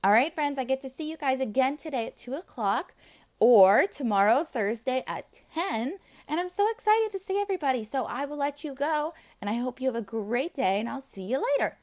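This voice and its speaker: A woman with a fairly high, very animated voice reads a picture book to preschool children. She speaks as an enthusiastic teacher, breaking off from the text to talk directly to her young friends. Her intonation swings widely throughout. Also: a little fast. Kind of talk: children's read-aloud with asides to the listeners.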